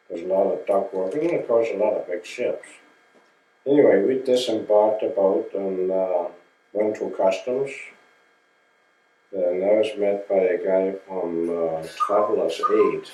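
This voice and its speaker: An older man from Denmark tells his story slowly and plainly, now and then stopping to correct himself. His voice is very low and mellow. The recording sounds roomy and picked up from a distance. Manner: slow, plain storytelling with restarts and self-corrections. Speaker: interviewee. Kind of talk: oral history recollection.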